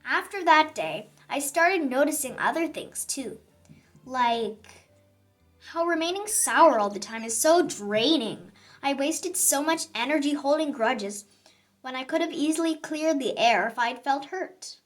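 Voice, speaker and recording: Woman as little sour grape, storybook narrator: high, bright, theatrical, grudge-prone but repentant. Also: lively intonation, faint music behind. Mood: reflective. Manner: theatrical, exclaiming, drawn-out emphasis on words. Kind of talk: children's picture book read-aloud.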